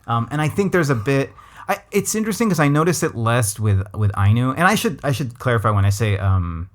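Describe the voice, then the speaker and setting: A man's voice: mid-range voice, expressive, a little fast. A man from Los Angeles with a West Coast American accent, hosting a podcast in a thoughtful, casual chat with many restarts. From Los Angeles, USA. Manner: thoughtful, full of restarts and hedges. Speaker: podcast host. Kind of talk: casual conversational interview.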